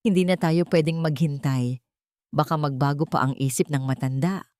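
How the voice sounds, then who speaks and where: A woman narrator acts out a cold daughter-in-law in an audio story. She is falsely sweet and scheming, with curt politeness. The voice sits fairly low and the recording is dry. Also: moderate pace, a little mellow, no room sound, close to an everyday voice. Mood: irritated, urgent. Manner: polite on the surface, curt and irritated.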